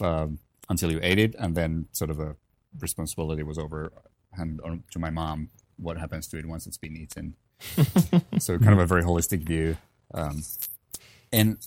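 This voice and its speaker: Young finnish guy in his 20s, really low voice, chatty and easygoing, dry close sound. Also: no room sound. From Finland. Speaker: podcast guest, coffee professional. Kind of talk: casual interview storytelling.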